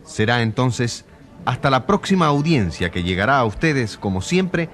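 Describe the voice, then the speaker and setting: A solemn radio-drama announcer addressing his listeners. His voice is mid-pitched and moves expressively through formal scripted narration. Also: sing-song, moderate pace, a bit bright, slight background noise. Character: solemn male radio announcer reporting from a trial.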